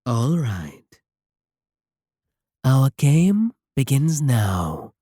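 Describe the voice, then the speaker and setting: A man playing a sly, sadistic warlock in a roleplay audio drama, smug and possessive, with taunting, deliberate phrasing. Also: mid-range voice, big pitch swings, no room sound, full character voice. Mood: sly, bargaining. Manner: taunting, drawn-out, deliberate phrasing with dark chuckles. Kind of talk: scripted roleplay audio drama, spoken to the listener.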